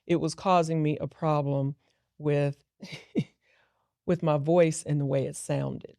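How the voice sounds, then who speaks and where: A woman's voice: sits low, steady tone, slow, creaky, warm tone, no room sound. A middle-aged woman, a business founder, telling a personal story earnestly and reflectively in an interview. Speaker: guest entrepreneur.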